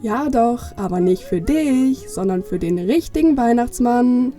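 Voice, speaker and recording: A woman's voice: fairly high pitch, animated, measured pace, warm tone, soft background music. A woman playing an earnest, determined young girl, childlike and insistent, in a children's audio play. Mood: defiant.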